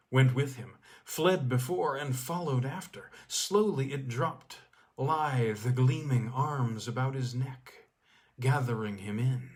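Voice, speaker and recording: A man reading a literary story aloud as a storyteller, with deliberate phrasing and dramatic pauses. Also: mid-range voice. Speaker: storyteller, audiobook reader.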